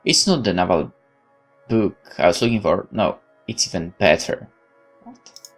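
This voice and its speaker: A man's voice: low voice, very animated, a little slow, faint music behind. A man reading a visual novel aloud as a let's play host, with informal muttered asides and interruptions to himself.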